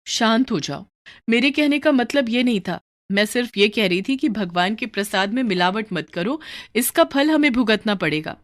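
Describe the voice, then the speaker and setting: A woman's voice: on the high side, lively intonation, moderate pace, pitched above the performer's own voice, lightly acted. A woman voicing a pious, worried wife in a cartoon moral story, earnest, moralizing and pleading.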